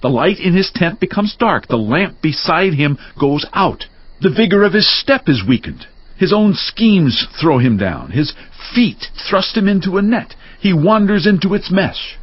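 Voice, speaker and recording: Husky, indignant, scolding accuser. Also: male voice, high voice, sing-song, unhurried, heavily acted. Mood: stern warning. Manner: stern, accusatory, declamatory. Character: indignant, scolding man rebuking a suffering friend. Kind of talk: dramatized scripture audiobook acting. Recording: dull lo-fi audio.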